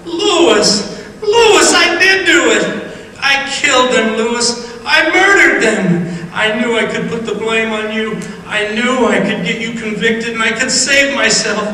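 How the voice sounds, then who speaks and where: An actor playing a guilty, panicked murderer, frantic and pleading in old-time radio melodrama. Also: male voice, extremely high pitch, lively intonation, a little slow, pushed and bright, full character voice. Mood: broken confession. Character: guilty, panicked murderer lawyer confronted by ghosts. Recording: echoey distant radio play, faint noise.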